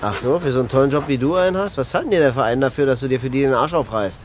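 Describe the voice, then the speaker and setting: An actor playing a cocky, sneering anarchist loafer with an unruly dog in a radio drama. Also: male voice, medium pitch, rapid-fire, noisy background, close to an everyday voice. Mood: mocking, cocky. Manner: sneering, mocking, streetwise.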